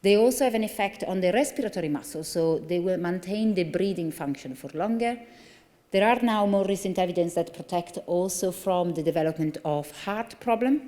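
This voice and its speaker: A woman's voice: fairly low pitch, mellow. A woman in her forties with a strong Italian accent, a neurologist giving an earnest conference talk at a lectern with many fillers and restarts. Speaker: consultant neurologist. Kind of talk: conference lecture. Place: large conference hall. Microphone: lectern microphone. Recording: long reverb of a large hall.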